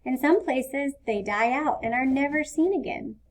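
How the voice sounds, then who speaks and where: A woman's voice: sits high, lively intonation, moderate pace, rough recording. A woman reading the part of a patient, caring mother who explains things in a children's storybook. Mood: gentle, concerned. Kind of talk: children's storybook read-aloud.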